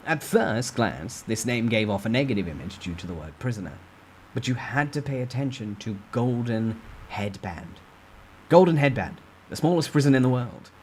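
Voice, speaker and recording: A man reading a novel aloud as a storyteller, with expressive, theatrical emphasis in a dramatic first-person narration. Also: medium pitch, normal speed, a little hiss or hum.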